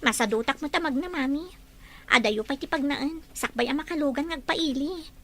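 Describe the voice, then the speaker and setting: A woman playing an expressive young woman in an Ilocano audio drama. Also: high voice, normal speed. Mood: resigned.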